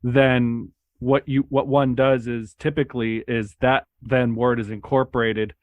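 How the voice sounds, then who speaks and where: Male podcast host, relaxed, filler-heavy speech, mid-pitched voice. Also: measured pace, no echo, phone-quality sound. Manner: relaxed, lots of "like" fillers, rises in pitch when excited. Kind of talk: casual chat.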